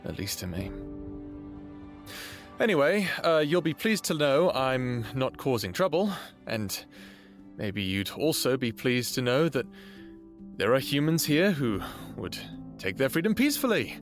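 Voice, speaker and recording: A man acting a gentle, awkward, sincere human in an audio drama monologue, hesitant and confiding. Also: medium pitch, big pitch swings, unhurried. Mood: tentatively hopeful. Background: music.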